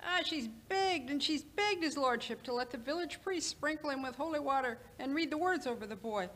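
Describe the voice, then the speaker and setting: A woman's voice: high voice, animated, brisk, a bit bright. A woman playing a superstitious, feisty old nanny with an Irish accent in a radio drama, folksy and scolding. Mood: fretful, insistent. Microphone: stand microphone.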